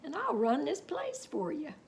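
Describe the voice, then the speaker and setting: A woman's voice: high voice, wildly swooping pitch, measured pace, a little hiss or hum, full character voice. A woman voicing a boastful, hot-tempered cowboy suitor in a folksy storybook reading. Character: swaggering, hot-tempered fake cowboy suitor, a grown man.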